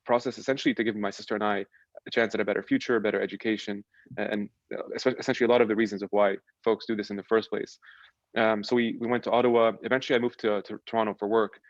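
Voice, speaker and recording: A young man talks fast and fairly flat in a low voice, chatty and relaxed, restarting his sentences often. The dry, dull sound of a video call carries him. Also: dry recording. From Lebanon. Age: young adult. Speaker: podcast guest. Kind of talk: casual chat.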